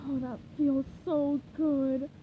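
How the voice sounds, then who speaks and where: A woman's voice: high voice, little pitch movement, takes it slowly, background noise. A woman voicing a sour, complaining adult male octopus in a storybook reading, with a nasal tone. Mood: grumpy.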